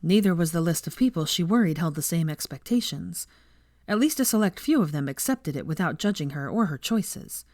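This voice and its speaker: A woman's voice: on the low side, lively intonation, brisk, a little mellow, dry recording, clean studio sound. A woman reading fiction aloud as a scripted story narrator.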